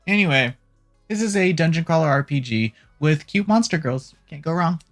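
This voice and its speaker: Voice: medium pitch, animated, moderate pace. A host giving a casual, opinionated video game news rundown with informal, joking asides. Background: background music.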